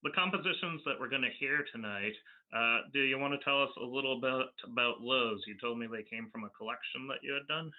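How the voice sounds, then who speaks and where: A man's voice: mid-range voice, brisk. A man speaking casually as a host and interviewer. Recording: tinny, narrow phone-like call audio.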